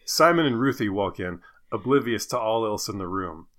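A man's voice: fairly low pitch, lively intonation, moderate pace, a bit bright. A man hosting a podcast, chatting casually and narrating a comic script. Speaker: podcast host.

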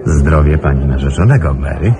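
Smooth gallant flirty guy, radio play, low lively voice, playful and courtly, music behind. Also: normal speed. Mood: teasing, gallant. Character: gallant, flirtatious, self-assured male friend of the household.

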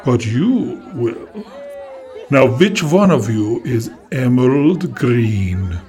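A man's voice: mid-range voice, animated, drawn-out words, darkish tone, some chatter behind. A man doing a creepy, sweetly menacing doll-collecting lady. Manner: theatrical, sweetly menacing, calls people darling. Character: creepy glamorous woman who collects dolls. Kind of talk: children's audio story acting.

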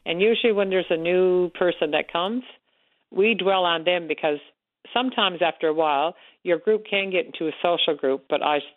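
A woman with a Newfoundland accent calling in to a radio show, loud-voiced and frank, talking on and on. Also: lowish voice, moderate pace, dry recording. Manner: frank, talkative storyteller. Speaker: caller, support group organizer. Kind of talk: radio call-in chat. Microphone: telephone. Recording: tinny phone line.

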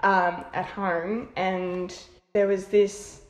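A woman's voice: fairly low pitch, drawn-out words, slight background noise. A young woman in her late twenties, a podcast guest chatting casually and rambling a little over a video call. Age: 20s. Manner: relaxed, rambling with fillers and restarts. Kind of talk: casual interview chat. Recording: roomy echo.

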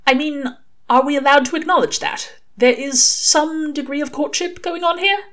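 A woman's voice: high-pitched, moderate pace, a bit bright, voice pushed up. A woman voicing a prim, earnest male angel in an audiobook, with formal, fussy and hesitant phrasing. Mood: hesitant, flirtatious. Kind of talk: audiobook character dialogue.

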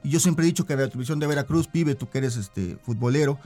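A man's voice: mid-range voice, fast, warm tone, faint music behind. A man with a Mexican accent, speaking conversationally and at length as a director being interviewed.

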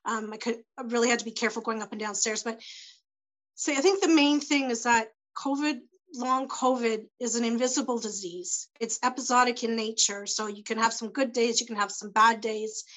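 Halting woman, slightly high voice. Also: a bit bright. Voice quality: voice tends to go hoarse. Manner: halting, many fillers and restarts, searching for words. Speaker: panel guest. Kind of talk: personal testimony in a panel.

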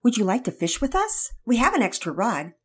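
A woman voicing a jovial, excitable father who greets friends warmly and jokes about fishing. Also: on the high side, a little fast, breathy edge, voice pushed up. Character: cheerful, excitable dad, Daddy Peters.

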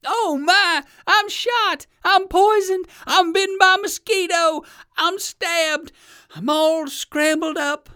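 A woman voicing a savage, blustering male alligator in a children's story, loud, drawn-out and theatrical. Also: very high-pitched, pitched above the performer's own voice, exaggerated voice. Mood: frantic.

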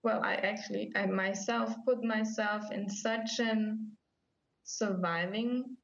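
A woman's voice: mid-range voice, steady tone, slow pace, gravelly, a little hiss or hum. A woman from Austria with an Austrian German accent, a native German speaker telling her story as an interview guest and acting out her own past thoughts. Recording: slightly dull lo-fi sound.

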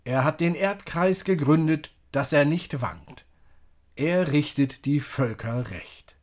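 A man's voice: a little high, animated, slow pace, a little mellow. A man reading psalm and prayer as a church service leader, solemn and declamatory.

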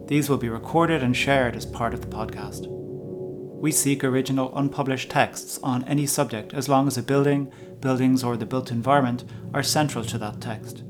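A man hosting a podcast, presenting from a prepared script. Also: medium pitch. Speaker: podcast host.

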